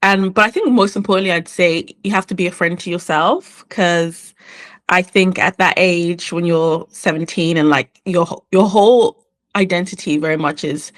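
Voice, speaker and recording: A reflective woman from the UK and Ireland, with a lowish voice, answers interview questions slowly and thoughtfully. She often restarts her sentences as she works through personal questions about identity and belonging. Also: fairly even intonation. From born in the UK, raised in Ireland and England. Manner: thoughtful, unhurried, with frequent restarts. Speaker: guest, cartoonist.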